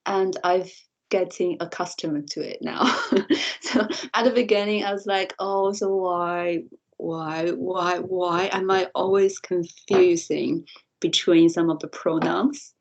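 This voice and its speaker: Low-voiced woman, native Mandarin speaker. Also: steady tone, takes it slowly, lo-fi sound. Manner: thoughtful, frequent light laughter and restarts. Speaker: interview guest, lecturer. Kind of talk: reflective podcast interview answer.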